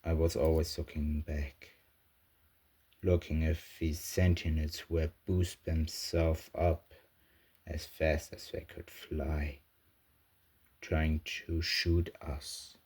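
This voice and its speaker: A grim, battle-shaken soldier played by a man records a sci-fi audio log in an extremely deep, husky voice. He speaks very slowly and haltingly, in short broken phrases with heavy pauses between them. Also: level tone, darkish tone, exaggerated voice. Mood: grim, tense. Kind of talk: scripted first-person audio log narration, amateur sci-fi roleplay.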